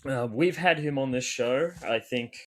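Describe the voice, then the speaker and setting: A man's voice: mid-range voice, normal speed, fairly bright tone. A man hosting a movie podcast, introducing the episode in an easygoing way with lots of fillers. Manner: easygoing, filler-heavy, laughing. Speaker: podcast host. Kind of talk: casual podcast intro and banter.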